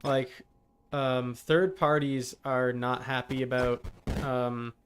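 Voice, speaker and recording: A man's voice: mid-range voice, flat delivery, takes it slowly, slight background noise. A man live streaming a game, talking casually and bluntly with exasperated, sweary asides. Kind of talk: casual gaming stream chat. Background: game music.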